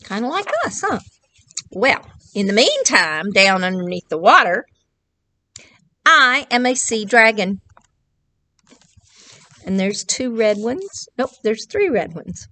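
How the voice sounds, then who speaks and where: A woman, reading a picture book aloud to children and pausing to ask them simple questions. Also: medium pitch, very animated, bright, forceful tone, dry recording. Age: 60s. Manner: questioning, explains simply to young listeners. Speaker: children's librarian reading at storytime. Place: indoors, small decorated library room.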